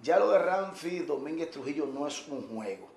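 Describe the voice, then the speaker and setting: Entrevistador dominicano efusivo, sala con eco. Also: male voice, fairly high pitch, lively intonation, vocal fry, darkish tone. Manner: effusive, teasing, quick interjections. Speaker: radio and TV host interviewer. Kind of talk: lively interview. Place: bright living room, indoors. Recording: echoey room with faint noise.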